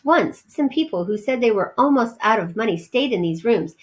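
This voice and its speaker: A woman's voice: fairly high pitch, lively intonation, moderate pace, lightly acted. A small male mouse from a children's storybook, acted out by a woman reader. He is eager and a little indignant, complaining and pleading his case like a youngster who wants his way. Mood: wistful.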